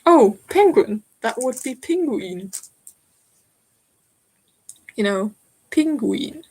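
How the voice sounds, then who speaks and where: A German-accented woman in her twenties hosts a live crafting stream and reads out viewer comments. She talks unhurriedly in a slightly high voice, chatty and giggly, speaking straight to her audience. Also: animated, drawn-out words, dark tone, breathy edge, rough recording. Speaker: stitching channel host.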